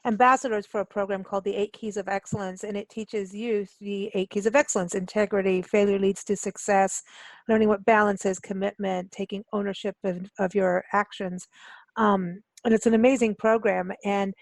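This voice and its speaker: A woman's voice: medium pitch, flat delivery, normal speed, darkish tone, no echo, poor audio. A woman hosting a radio interview as a casual chat, informal and effusive in how she talks.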